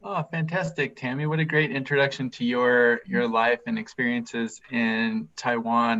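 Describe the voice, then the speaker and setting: A man hosts a live stream and puts questions to his guest in an upbeat, encouraging way. His voice sits at an average pitch. The sound comes through muffled, as on a video call. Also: creaky, a bit bright. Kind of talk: friendly interview questions. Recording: dull video-call audio.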